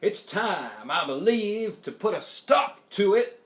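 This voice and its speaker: A man's voice: high-pitched, big pitch swings, roomy sound, voice pushed up, heavily acted. Man as an emphatic small-town pastor, raised voice. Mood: solemn, rousing. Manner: oratorical, emphatic, measured. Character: earnest small-town pastor making a solemn speech.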